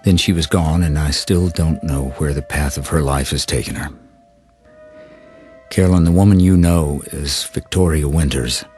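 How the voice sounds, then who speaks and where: An actor playing a gentle man who reads a letter aloud in an audio drama, in a measured way over theme music. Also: very deep voice. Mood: solemn, tender. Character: gentle man reading a dead woman's confession aloud. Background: sentimental theme music.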